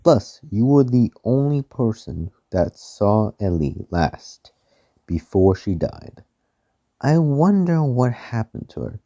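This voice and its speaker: A man's voice: mid-range voice, big pitch swings, slow pace, mellow, dull audio, full character voice. A man playing a smug, arrogant, menacing rich mansion owner with a haughty, sneering delivery in an amateur radio play. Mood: smug, threatening.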